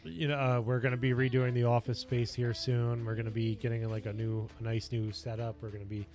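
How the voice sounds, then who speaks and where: Man, casual livestream chat, rambling, earnest, slightly raspy, mid-pitched, faint background music. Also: level tone, fairly quick, a little mellow. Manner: rambling, filler-heavy, earnest. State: voice getting raspy. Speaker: streamer and lifestyle coach. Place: basement home office.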